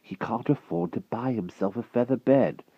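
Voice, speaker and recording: A man voicing a young, earnest boy pupil who speaks softly and pleads. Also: medium pitch, moderate pace, slightly rough, no room sound, lo-fi sound, pitched above the performer's own voice, close to an everyday voice. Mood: pleading. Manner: soft pleading.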